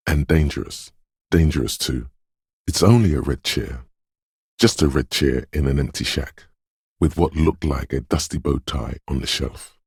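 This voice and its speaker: An actor playing a brooding, dreamlike inner voice of intuition in a video game, narrating slowly, solemnly and ominously in poetic second person. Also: male voice, very deep voice, darkish tone, breathy edge, no room sound, studio quality, full character voice.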